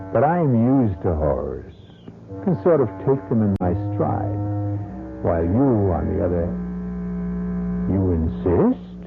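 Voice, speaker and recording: A man's voice: on the low side, heavily acted. An actor playing a sly, macabre storytelling host of a radio mystery, teasing and theatrical as he relishes horrors. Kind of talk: old-time radio mystery hosting. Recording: narrow-band old radio recording with music.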